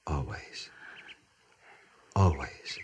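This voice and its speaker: An actor playing an earnest, romantic young cavalry lieutenant in a 1950s radio drama, sincere and well-spoken. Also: male voice, a bass voice, lively intonation, very slow, a little mellow, breathy edge, exaggerated voice. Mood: tender, wistful.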